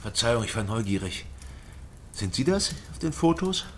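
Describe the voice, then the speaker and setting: An actor playing a curious, persistent investigator in a radio crime drama, questioning and narrating in a restrained, matter-of-fact way. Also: male voice, medium pitch, big pitch swings, moderate pace, a little hiss or hum, natural voice.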